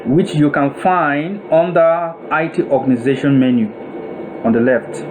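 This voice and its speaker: A man with a Nigerian accent giving a deliberate, step-by-step software tutorial voice-over, phrase by phrase, as an instructor. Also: on the high side. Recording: noisy room with steady air-conditioning-like hum.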